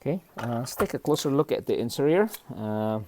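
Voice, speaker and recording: A man's voice: medium pitch, expressive, a little fast, darkish tone, slight background noise. A man from Indonesia hosting a casual, unscripted model car review, speaking hesitantly in short broken phrases and often checking in with a brief questioning tag.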